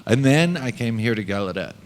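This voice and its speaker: A man speaking at a panel discussion, voicing for a panelist in a deliberate, reflective way with pauses. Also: medium pitch, lively intonation.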